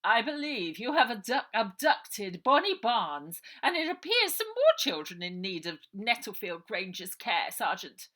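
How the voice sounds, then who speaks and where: A woman's voice: medium pitch, big pitch swings, a little slow, few pauses, sharp tone, voice pushed up. A woman voicing an officious, commanding villainous matron in a children's storybook. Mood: officious, accusing.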